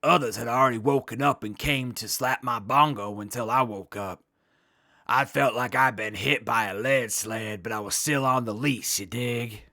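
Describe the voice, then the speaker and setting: A man acts out a cool beatnik squad leader for an audio drama. The voice is bright and creaky, recorded very dry. He spins everything in jive slang and stays unflappable. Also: mid-range voice, moderate pace, dry recording. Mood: somber storytelling. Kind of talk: post-apocalyptic audio drama acting.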